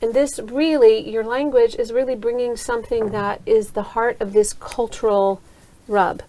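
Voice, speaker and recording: A woman's voice: fairly high pitch, fairly even intonation, normal speed, dark tone, slight background noise. Woman interviewer asking reflective questions. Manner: reflective, asks follow-up questions. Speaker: host. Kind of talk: conversational interview. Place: university studio.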